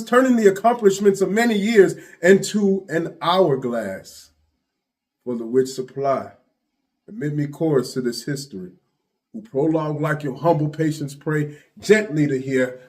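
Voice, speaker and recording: A man's voice: a little high, close to an everyday voice. A man playing a grand, impassioned storyteller, declaiming a Shakespearean monologue to an audience. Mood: urgent, excited, then gracious. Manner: declamatory, rising from hushed to ringing. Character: Chorus, grand impassioned male storyteller addressing his audience.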